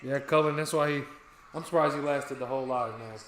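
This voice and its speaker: A man's voice: mid-range voice, a little fast, fairly bright tone. Laid-back Southern American man on a livestream. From United States. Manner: loose, joking, talks to chat and his cat. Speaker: livestream host. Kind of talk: casual livestream chat reading viewer comments. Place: living room couch, indoors. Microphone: webcam mic at a distance. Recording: roomy echo, faint hiss.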